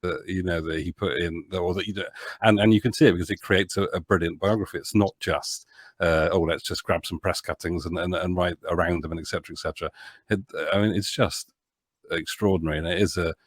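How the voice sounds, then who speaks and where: A man with a very low voice talks casually as a guest in an interview. He is earnest and halting, repeating words as he goes, and the sound is dry and close. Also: steady tone, dry recording. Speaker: podcast guest, audio drama producer.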